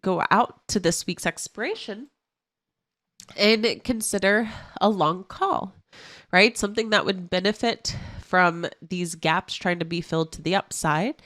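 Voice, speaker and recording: A woman who sounds stuffy from a cold, walking through trading charts as an options analyst in a clear, explanatory way. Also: medium pitch, animated, measured pace, fairly bright tone, no room sound.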